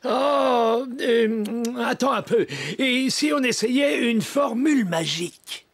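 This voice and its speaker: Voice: mid-range voice, takes it slowly, vocal fry, soft background music. A performer voicing a kindly, bumbling old gnome magician in a children's cartoon, hesitant and muttering, theatrical with spells. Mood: confident, incanting. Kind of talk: animated children's cartoon dialogue.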